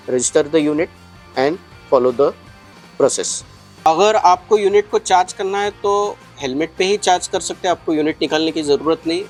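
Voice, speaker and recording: A man gives a casual step-by-step product tutorial, explaining things and mixing in English phrases. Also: on the high side. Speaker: product reviewer and shop presenter.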